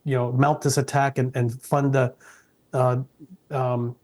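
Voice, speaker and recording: Casting: middle-aged Vietnamese-born man, earnest interview guest with a level, flat tone. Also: medium pitch, measured pace. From South Vietnam. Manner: earnest, respectful, frequent restarts.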